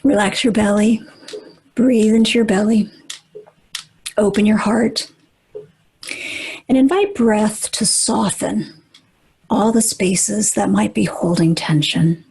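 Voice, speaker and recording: Female meditation guide: very husky and breathy, gentle, unhurried, with pauses between short instructions. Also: medium pitch, fairly even intonation, low-quality recording. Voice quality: breathy, husky, often near whisper.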